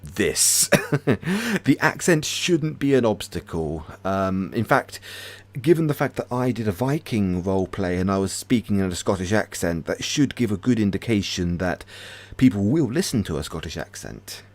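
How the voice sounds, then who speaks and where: A British man hosting a casual livestream, chatting hesitantly with many pauses and restarts. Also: fairly low pitch, expressive. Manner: hesitant, lots of ums and restarts, friendly. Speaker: ASMR channel host.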